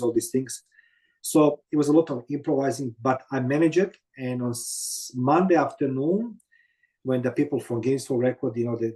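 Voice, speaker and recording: A man in his fifties with a Croatian accent, speaking in a mellow, darker tone. He talks haltingly in non-native English and leans on "you know" over and over as an interview guest. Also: medium pitch. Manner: halting, many fillers and "you know what I mean". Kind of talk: casual interview storytelling.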